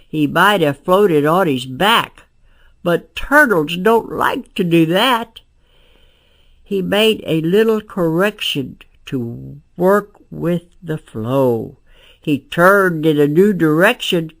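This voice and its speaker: An elderly woman, aged ninety-four, reads a children's picture book aloud in a gravelly, creaky voice. Her pace is extremely slow and deliberate, and she pauses between short phrases of the rhyme. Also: sits low. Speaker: amateur story reader.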